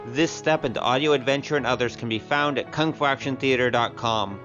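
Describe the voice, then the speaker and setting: A man announces closing credits in a scripted read. His voice is mid-pitched, with music playing behind it. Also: a little fast, fairly bright tone, highs cut off. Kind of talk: scripted narration.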